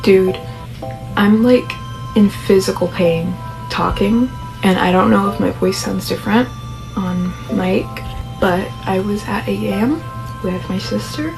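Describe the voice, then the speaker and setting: A hoarse young woman reader chatting casually to viewers in a quick aside. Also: medium pitch, low-quality recording. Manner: chatty, filler-heavy. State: hoarse from screaming. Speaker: story reader. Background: background music.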